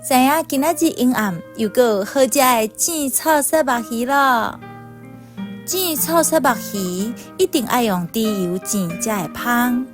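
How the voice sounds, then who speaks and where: High-voiced Taiwanese woman narrating, unhurried. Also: expressive. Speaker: reader of a personal essay. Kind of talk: scripted storytelling narration. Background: soft instrumental music.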